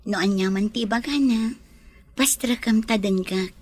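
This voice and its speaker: A woman's voice: mid-range voice, normal speed, natural voice. A woman with an Ilocano accent playing a kind, trusting lady of the house in an audio drama. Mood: calm, reassuring.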